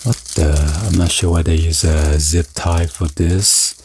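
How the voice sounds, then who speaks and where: A man casually narrating an unboxing in a halting, hesitant way, as the host of the video. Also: low-pitched, steady tone. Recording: noisy, with crinkling packaging and clicks. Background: packaging crinkling and clicking.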